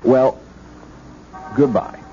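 Eager, bumbling young radio-drama cop, mellow-voiced. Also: male voice, extremely slow. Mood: politely probing. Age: young adult. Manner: hesitant, rambling, apologetic, feigned naivety. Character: eager, bumbling, nosy young male cop, slyly persistent. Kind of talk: 1970s radio drama acting. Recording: muffled, noisy 1970s radio broadcast.

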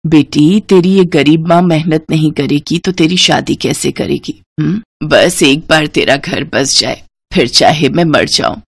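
A woman plays a frail old mother in a cartoon moral tale. She pleads slowly and sorrowfully in a low, weary voice, worn out by sacrifice. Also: vocal fry, husky, dry recording, exaggerated voice. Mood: weary, tender.

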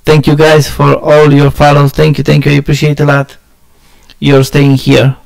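A man from Romania with a Romanian accent chatting casually with viewers on a game stream, halting and searching for words, often laughing at himself. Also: on the high side, completely flat delivery, creaky. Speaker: gaming streamer.

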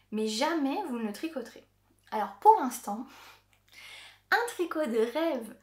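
A woman in her thirties chats to a camera on her desk, her voice sitting a little high. She keeps pausing on filler sounds and laughing as she goes. The small room around her adds a clear echo. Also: darkish tone. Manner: chatty, many filler "euh" pauses, laughs often. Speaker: knitting podcaster. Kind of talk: casual vlog chat. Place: indoor craft room with yarn shelves. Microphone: camera on a desk, framed at chest. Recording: echoey room.

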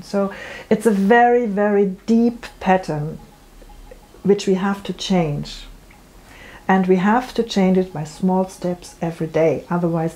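A woman's voice: on the low side, dark tone. A middle-aged woman, a natural medicine practitioner, answering interview questions earnestly, often restarting her sentences. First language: German. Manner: earnest, explanatory, with frequent restarts. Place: room with bookshelves.

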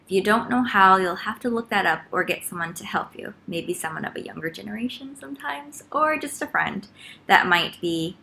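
A woman's voice: fairly low pitch, pushed and bright. A young adult woman talking casually and informally to camera in a living room, giving a chatty how-to as a vlogger. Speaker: vlogger and teacher. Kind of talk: casual tutorial talk to camera. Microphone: laptop mic at about arm's length.

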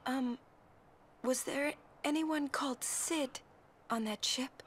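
A young woman playing a gentle, reserved summoner in a video game, speaking softly and hesitantly. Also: high voice, slow, slight background noise. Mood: shy, reflective. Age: young adult. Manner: soft, hesitant, careful.

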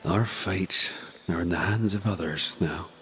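A solemn ghost of a devout settler in a video game drama. The voice is very low and unhurried, grave and resigned, with music behind it. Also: male voice, fairly bright tone. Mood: somber, resigned.

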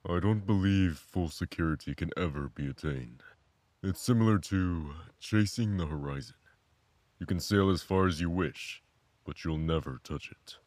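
Man playing a very deep-voiced, philosophical mentor. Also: darkish tone, no echo, lightly acted. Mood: calm, contemplative. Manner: measured, contemplative. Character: calm, philosophical male mentor, unhurried and grave. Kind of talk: audio drama acting.

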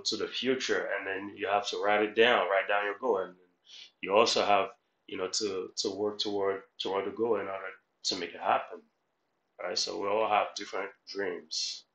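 A man's voice: on the low side, level tone, normal speed, fairly bright tone. A middle-aged man from Haiti giving motivational commentary as a life coach, instructive and repetitive, often checking in with the listener. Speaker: life coach, reaction host. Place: small room at home, indoors. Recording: roomy echo.

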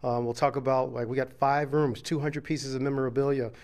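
A middle-aged man talks in a live TV interview in a hotel room, into a reporter's handheld mic. He answers relaxed and reflective, pausing with fillers, in a middle-pitched voice. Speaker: sports team executive interviewed. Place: hotel convention room, indoors.

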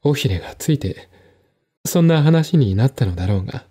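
A man playing a composed, articulate man in a one-man audio drama, explaining things in a measured, reflective way. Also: medium pitch, expressive, mellow, breathy edge. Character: composed, articulate man recounting his stepmother's death.

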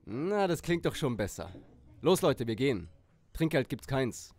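Guy doing a smug aggressive teen boy boss, medium pitched voice. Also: sing-song, unhurried, darkish tone. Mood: threatening. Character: bossy, aggressive, smug teenage boy leader.

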